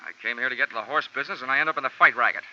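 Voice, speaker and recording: A man playing a tough, wisecracking insurance investigator in American old-time radio crime drama, brisk and wry. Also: medium pitch, sharp tone. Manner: brisk, wry, hard-boiled. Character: tough wisecracking male insurance investigator posing as horse buyer. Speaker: radio drama lead actor. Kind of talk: 1940s-50s American radio crime acting. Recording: muffled, hissy old radio broadcast.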